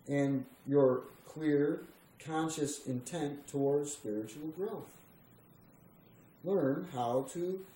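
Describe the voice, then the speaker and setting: Slow, very mellow man reading aloud. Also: medium pitch. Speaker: reader of a spiritual text. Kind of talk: slow meditative scripted reading. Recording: roomy echo, faint background noise.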